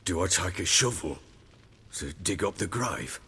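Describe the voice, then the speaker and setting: An actor playing a grief-stricken, guilt-ridden lord in a video game, bitter and hot-tempered, with a coarse, dramatic delivery. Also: male voice, sits low, expressive, measured pace, sharp tone, breathy edge, in a large hall, heavily acted. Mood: grim, pleading. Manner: anguished, dramatic, coarse-mouthed.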